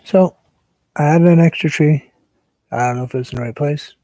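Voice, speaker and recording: A man hosting a painting livestream, chatting casually and relaxed with viewers, sometimes dropping to a soft whisper as he works. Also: on the high side, moderate pace, no room sound. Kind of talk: casual chat while painting.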